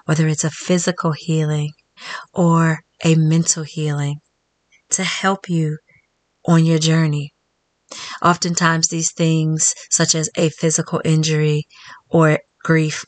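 A woman in her thirties hosts a solo wellness podcast in a low, fairly flat voice. She talks earnestly and reflectively and slows down when she speaks affirmations. The sound is close and dry, with no room around it. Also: no echo. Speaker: podcast host.

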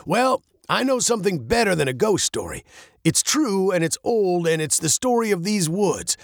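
A man's voice: high voice, very animated, normal speed, no echo, studio quality, pitched above the performer's own voice. A man voicing a protective captain in a storybook, a stern but caring father with a firm, commanding manner. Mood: storytelling. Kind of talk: storybook character voice.

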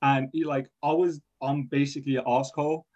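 A man's voice: fairly high pitch, little pitch movement, brisk, darkish tone. A young man whose first language is Persian, an engineering student talking casually in a rambling, self-deprecating way with lots of fillers. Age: young adult. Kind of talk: casual podcast chat. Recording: dull lo-fi sound.

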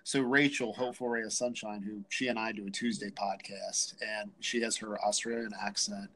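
A man's voice: lowish voice, steady tone, normal speed, sharp tone, low-quality recording. A man with an American accent hosting a podcast, chatting in an easygoing way, laughing often and using many likes. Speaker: podcast host. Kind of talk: casual interview chat.

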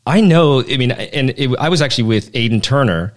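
A man's voice: mid-range voice, lively intonation, a little fast. Friendly male podcast host. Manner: friendly, brief affirmations. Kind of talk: interviewing a guest.

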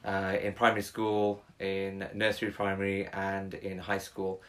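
A man's voice: sits low, monotone, measured pace. A young man, talking casually as a podcast guest, rambling with many fillers and restarts. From Wales. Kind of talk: casual conversation.

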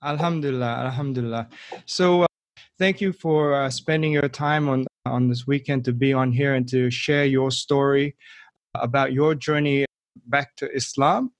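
A man's voice: mid-range voice, steady tone, normal speed, no echo. A man hosting a podcast interview, friendly and often stopping and restarting with fillers. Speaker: podcast host.

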